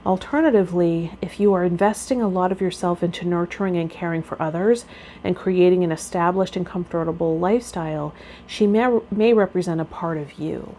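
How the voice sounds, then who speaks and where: A woman's voice: lowish voice, a little fast, dark tone, slight background noise. A woman speaking to viewers as a tarot vlogger and spiritual guide, reading aloud and chatting.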